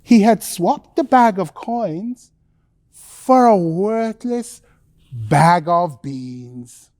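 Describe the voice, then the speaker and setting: A woman narrates a children's story with theatrical flair. Her voice is soft-edged and swoops expressively, yet she takes her time, leaving deliberate pauses. The sound is close and dry. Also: on the low side, animated, no echo. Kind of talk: pantomime bedtime storytelling for children.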